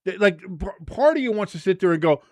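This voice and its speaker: A man hosting a casual watch-along, joking, swearing and shouting at big plays. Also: sits high, fast talker, no echo. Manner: excitable, profane, jokey, shouts at big plays. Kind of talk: casual live match commentary.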